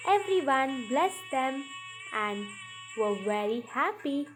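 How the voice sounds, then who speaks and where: A woman narrating a children's story from a script, in deliberate, halting phrases with pauses. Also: sits high, lively intonation, over music, poor audio. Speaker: storyteller narrating a puppet show.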